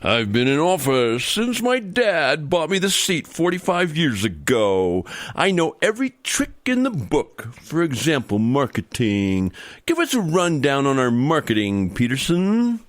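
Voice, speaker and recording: A man's voice: on the high side, sing-song, unhurried, no room sound. A man playing a pompous, crooked senator, wildly swooping delivery. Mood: smug. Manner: pompous, boastful. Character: smug, corrupt veteran male senator, scheming and self-satisfied. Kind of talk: comedy radio theater acting.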